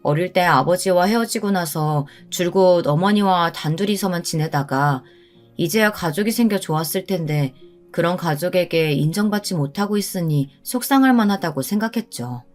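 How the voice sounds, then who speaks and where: A woman's voice: on the low side, a little mellow. A woman reading a scripted first-person story as a dramatic narrator.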